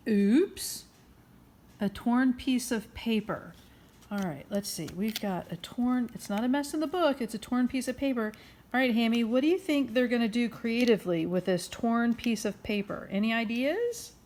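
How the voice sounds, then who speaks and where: A woman's voice: a little high, normal speed, darkish tone, slight background noise. A woman hosting a children's story time, reading aloud expressively and encouragingly, with questions for her listeners. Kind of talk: interactive picture-book read-aloud.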